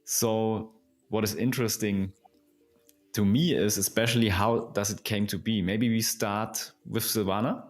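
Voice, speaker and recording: A man's voice: on the low side, steady tone, unhurried, soft background music. A man whose first language is German hosting a podcast in English with simple, repetitive phrasing. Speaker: podcast host. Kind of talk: podcast intro and interview questions.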